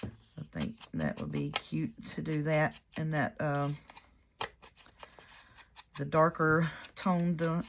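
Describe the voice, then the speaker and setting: Hoarse, gravelly woman. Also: low voice, slow pace, long pauses, noisy background. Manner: soft-spoken and rambling. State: hoarse from a cold. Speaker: craft vlogger. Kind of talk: casual crafting chat.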